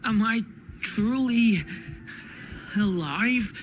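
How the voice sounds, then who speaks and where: A gentle young dragon boy from a fantasy video game. He speaks slowly, with hesitant, earnest bravery. Soft music runs behind him. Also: fairly high pitch, animated. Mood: weak, struggling.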